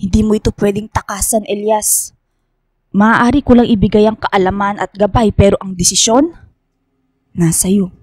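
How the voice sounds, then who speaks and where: An actress playing a grave, commanding professor and mystical mentor, speaking deliberately and portentously in a radio drama. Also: female voice, mid-range voice, fairly even intonation, darkish tone, no echo, close to an everyday voice. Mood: solemn, firm.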